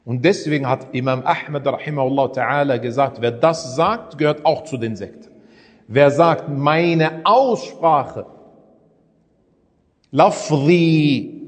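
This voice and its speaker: A man's voice: a little high, long reverb tail. A man teaching a religious lesson in a conversational way, often asking his listeners rhetorical questions. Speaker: Islamic preacher.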